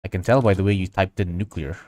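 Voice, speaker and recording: A man's voice: sits low, fairly even intonation, fast talker, darkish tone. A man from Indonesia hosting a casual livestream tutorial, thinking aloud as he works and chatting with viewers. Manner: thinking aloud, reading out and answering chat. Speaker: livestream host. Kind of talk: casual livestream tutorial chat while working.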